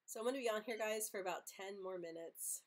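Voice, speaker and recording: A young woman who stutters often, with repeated sounds and blocks, hosting a casual live Q&A, earnest and quick to laugh. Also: mid-range voice. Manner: earnest, open, laughs easily. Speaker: livestream host answering viewer questions.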